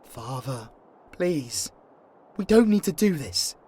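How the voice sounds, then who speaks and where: An actor playing a gentle young angel in anguish, in a fantasy audio drama, with a pleading, faltering, emotional delivery. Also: male voice, a little high, sing-song, slow pace, breathy edge, heavily acted. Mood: grieving, pleading.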